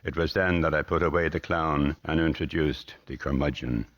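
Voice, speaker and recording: An actor playing a gloomy car salesman in a radio drama, speaking in a formal, deadpan, sardonic and measured way. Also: extremely low pitch, muffled recording. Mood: dry, measured. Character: tall, gloomy, curt male car salesman, dryly superior.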